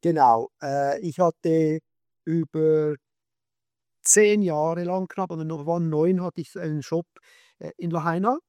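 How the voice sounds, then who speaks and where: A middle-aged man with a Swiss German accent, talking casually in an interview and often pausing with fillers and restarts. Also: a little high, darkish tone, clear, resonant tone, dry recording. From Switzerland. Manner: hesitant, many fillers and restarts. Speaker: guest, skate shop owner and magazine maker.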